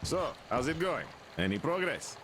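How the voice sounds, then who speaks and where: An actor playing an easygoing, wry guard in a post-apocalyptic video game. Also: male voice, on the high side, big pitch swings, moderate pace, background noise. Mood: casual. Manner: wry, casual. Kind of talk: video game cutscene acting.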